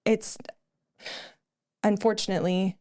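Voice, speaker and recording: A woman's voice: mid-range voice, level tone, measured pace, warm tone. A middle-aged woman co-hosting a podcast, telling her personal testimony in an earnest storytelling way with frequent fillers. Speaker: podcast co-host.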